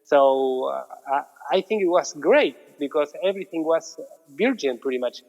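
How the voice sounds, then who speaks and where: A man in his thirties from Argentina with an Argentine Spanish accent, chatting casually as an interview guest, relaxed and repeating his words. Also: fairly high pitch, measured pace, creaky, faint music behind. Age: 30s. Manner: relaxed, repeats words, non-native phrasing. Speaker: guest, muralist. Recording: dull audio with long reverb.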